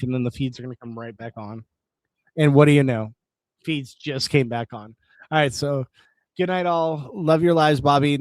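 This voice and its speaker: Guy hosting a late night stream, voice getting hoarse, rambly, reads chat out loud, mid pitch, dry close mic. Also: no room sound. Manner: rambling, reads chat aloud, restarts words. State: voice going hoarse. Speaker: live stream host. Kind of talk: casual live stream chat.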